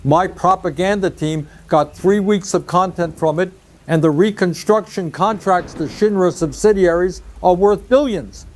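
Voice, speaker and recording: Rebel coalition leader, audio drama: high voice, wry, cynical schemer. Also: male voice, measured pace. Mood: smug amusement.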